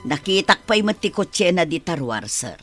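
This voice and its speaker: A woman's voice: fairly low pitch, sing-song, moderate pace, over music. A woman acting a snide, skeptical household worker in an Ilocano radio drama.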